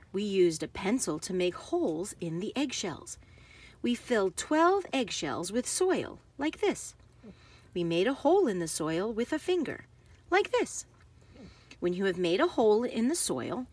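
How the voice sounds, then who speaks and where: A woman narrates a picture book for young children in a slightly husky, medium-pitched voice. Her pace is unhurried and carefully phrased, and her intonation rises and falls expressively.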